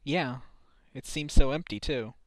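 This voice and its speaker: A man's voice: medium pitch, measured pace, no room sound, close to an everyday voice. A man playing an earnest, troubled defense lawyer and father in a game fan dub, brooding and self-doubting. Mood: subdued. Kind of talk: dramatic visual novel dubbing. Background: background music.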